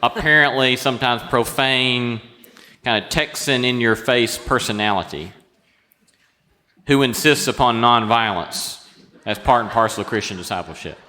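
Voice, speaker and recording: A man's voice: mid-range voice, moderate pace, bright, forceful tone. A man hosting a live interview on stage, putting questions to his guest in a hall. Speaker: host, interviewer. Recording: reverberant hall.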